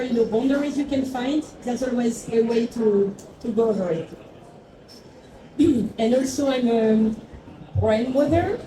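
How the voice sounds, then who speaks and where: Woman in her forties, echoey distant talk. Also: a little high. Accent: foreign, not Mexican. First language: not English. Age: 40s. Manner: reflective, searching for words, many fillers. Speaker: tequila brand founder. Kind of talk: talk to an audience. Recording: echoey room, far from the mic, noisy.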